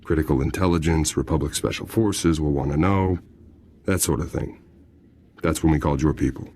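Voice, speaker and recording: A military jailer in a video game. He has a very deep voice and talks in a folksy, informal way, respectful to his superiors. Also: moderate pace, a little mellow. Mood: earnest, obliging. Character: deferential, chatty military prison guard.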